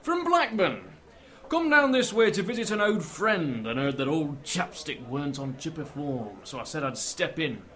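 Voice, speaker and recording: A man's voice: fairly high pitch, very animated, moderate pace, fairly bright tone. An actor with a Lancashire accent playing a jovial, one-armed older northern man who trades dry, folksy banter.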